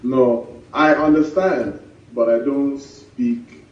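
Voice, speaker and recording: Soft-spoken man on an echoey, distant call. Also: mid-range voice, fairly even intonation, takes it slowly, lo-fi sound. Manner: soft-spoken, laughs often, repeats words. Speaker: pastor and guest. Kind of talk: casual interview chat.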